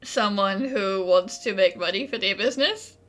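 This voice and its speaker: A woman's voice: medium pitch, normal speed, a bit bright, faint music behind. A woman giving casual, playful commentary as she plays a game. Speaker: gaming streamer reacting to a game.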